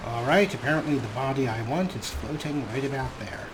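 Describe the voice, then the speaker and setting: A man's voice: medium pitch, fairly quick, noisy background. A man giving casual, chatty gameplay commentary as a let's play host, with a wryly understated manner.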